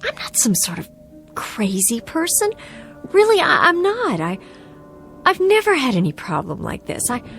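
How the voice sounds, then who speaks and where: A high, very animated voice plays an anxious woman traveler in a radio play. She is polite but flustered, hesitating and stammering. Music runs faintly beneath her. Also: normal speed, exaggerated voice. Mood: nervous, defensive. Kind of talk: audio drama acting.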